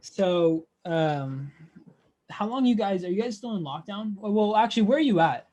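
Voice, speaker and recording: A man's voice: sits high, animated, normal speed, dark tone. Easygoing young male interview host. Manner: easygoing, filler-heavy. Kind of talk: casual interview chat. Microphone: video call.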